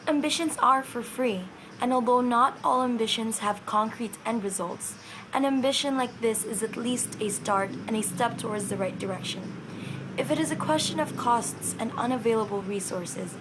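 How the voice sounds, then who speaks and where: A woman's voice: fairly high pitch, noisy background. Earnest woman. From Philippines. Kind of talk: prepared statement read to camera.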